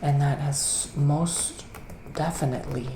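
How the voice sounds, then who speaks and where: Gentle male psychic witch, acted by a man, soft ASMR delivery drifting into whispers, gravelly fry, roomy noisy recording. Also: fairly high pitch, fairly even intonation. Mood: soothing, assured. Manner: hesitant, drawn-out phrasing with pauses. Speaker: ASMR performer. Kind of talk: soft-spoken ASMR roleplay, partly whispered. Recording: roomy echo, clear background noise.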